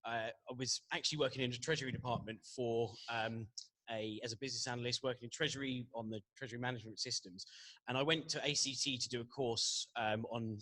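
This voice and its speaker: A man in casual conversation, speaking haltingly and stammering through many false starts. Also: mid-range voice, fairly even intonation, sharp tone, dry recording, rough recording. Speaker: panel guest who works as a business analyst.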